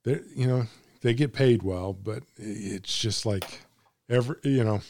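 A man hosting a casual sports chat, agreeable and halting, with many restarts and affirmations. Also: lowish voice, a little mellow, a little hiss or hum.

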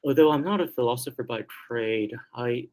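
A man from Taiwan with a slight Taiwanese Mandarin accent, answering interview questions hesitantly with many pauses and restarts. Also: medium pitch, darkish tone. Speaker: guest theologian.

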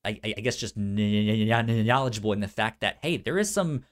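A man co-hosting a casual podcast, speaking informally and haltingly with a stutter that repeats sounds and syllables. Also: fairly low pitch. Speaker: podcast co-host.

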